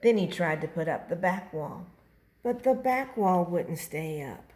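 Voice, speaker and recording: An older woman reads a children's story aloud with expression. Her voice sits a little low, and she takes her time, narrating at a very unhurried pace. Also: expressive, darkish tone, a little hiss or hum. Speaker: grandmother reading storybooks aloud.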